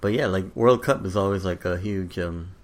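A man's voice: deep voice, normal speed. A man co-hosting a podcast, chatting in a laid-back way with lots of filler words. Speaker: podcast co-host. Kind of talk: casual podcast chat.

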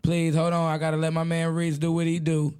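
A man with a raspy voice hosting a casual comedic radio show, joking and laughing often in slangy banter. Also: fairly high pitch, monotone, fairly quick, darkish tone.

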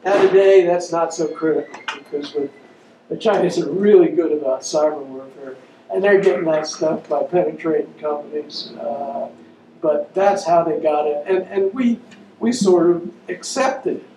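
A man giving an unscripted public lecture, pausing often with fillers and restarting his sentences. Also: fairly high pitch, mellow. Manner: halting, many fillers and restarts. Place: lecture room. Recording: dull, roomy, distant mic with faint noise.

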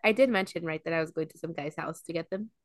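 A woman's voice: low voice, quick pace, dry recording, clean studio sound. Chatty young woman telling stories. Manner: chatty storyteller, lots of "like" and "um". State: coming down with a cold. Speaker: podcast co-host. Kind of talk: casual chat between friends.

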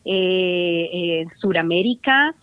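A woman's voice: on the low side, expressive, takes it slowly, clean tone. A woman with a Colombian accent speaks as a podcast guest. She tells personal stories conversationally, with effusive, grateful wording. Her voice comes through a narrow, tinny line with no room echo. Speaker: podcast guest, leadership coach.

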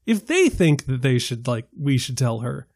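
A man's voice: mid-range voice, normal speed, gravelly, no room sound. A man on a film podcast, animatedly arguing a minority opinion with examples. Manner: animated, argumentative, builds his case with examples. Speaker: podcast panelist. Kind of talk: casual film discussion.

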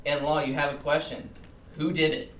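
A man's voice: fairly high pitch, flat delivery, normal speed, a bit bright, a little hiss or hum. A man in his thirties giving a live seminar talk as the speaker. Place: indoor seminar room. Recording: roomy, distant seminar recording with music.

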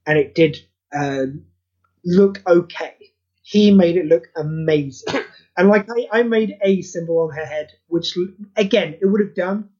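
A man's voice: high-pitched, measured pace, a little mellow, dull audio. A man hosting a podcast, chatting casually and self-deprecatingly, rambling with many restarts. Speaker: podcast host and audio play creator.

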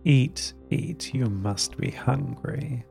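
A man voicing a thin, crackly old female voice coming out of a speaker, slow and sweetly doting. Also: mid-range voice, lively intonation, vocal fry, dark tone, soft background music, exaggerated voice. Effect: crackly poor connection.

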